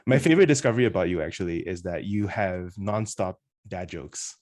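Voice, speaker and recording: A man's voice: low-pitched, fairly quick, darkish tone. A man hosting a podcast in a casual, informal and chatty conversational way. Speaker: podcast host.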